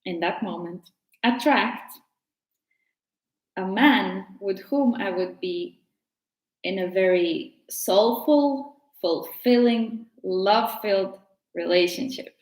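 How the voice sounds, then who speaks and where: Latvian-accented woman in an echoey room. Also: medium pitch. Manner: gentle, unhurried, searching for words. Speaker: tarot teacher. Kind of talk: casual explainer vlog.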